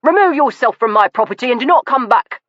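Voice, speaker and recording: A woman voicing a furious, blustering older man who shouts and mocks in a heated rush, on a dull, dry recording. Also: mid-range voice, lively intonation, dry recording, voice pushed up. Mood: blustering rage. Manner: shouting, mocking, fast and heated.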